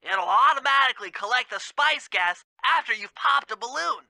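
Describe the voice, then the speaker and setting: High, nasal, brainy cartoon sidekick. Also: male voice, expressive, moderate pace, vocal fry, sharp tone, no echo, heavily acted, rough recording. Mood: matter-of-fact, precise. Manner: precise, formal, technical phrasing. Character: brainy, anxious, precise male gadget expert animal sidekick. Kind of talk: cartoon video game voice acting.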